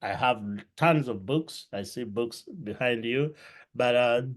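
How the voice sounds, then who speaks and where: A man from Uganda hosting an interview podcast from a room at home, greeting his audience in an effusive, drawn-out way. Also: mid-range voice. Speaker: podcast host. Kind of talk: welcoming interview host. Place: home room with books and a clay pot.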